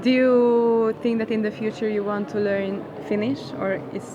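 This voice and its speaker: A woman's voice: on the high side, fairly even intonation, moderate pace, lo-fi sound, background noise. A woman interviewing a guest in English, encouraging him with short agreeing sounds.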